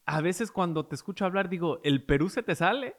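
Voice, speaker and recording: A man's voice: sits high, lively intonation, brisk. Host, man, Michoacán Mexican accent; friendly, chatty interviewer, radio background. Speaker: podcast host, radio announcer. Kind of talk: casual interview conversation.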